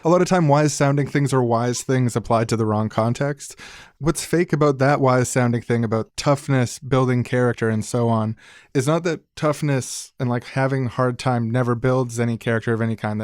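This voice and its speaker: Male podcast co-host, medium pitch, casual analysis, informal, wry, dry close recording. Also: a little mellow, no echo.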